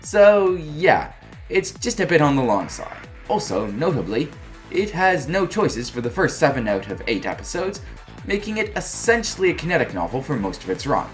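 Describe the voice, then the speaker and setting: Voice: medium pitch, sing-song, moderate pace, faint music behind. A reviewer narrating a scripted review in an informal, wry and emphatic way. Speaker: video game reviewer.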